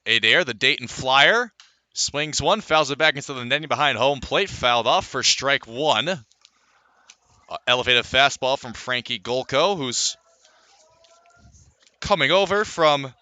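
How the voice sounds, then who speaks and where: A man calling live baseball play-by-play as a radio announcer, filling the gaps between pitches with measured runs of stats. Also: pushed and bright, smooth, dry recording. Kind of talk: live sports play-by-play commentary.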